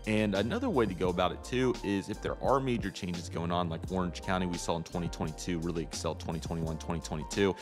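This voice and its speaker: A man's voice: sits low, fast, few pauses. An American man hosting a hobby channel, giving an upbeat, conversational voice-over in a casual vlog style. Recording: music behind the voice.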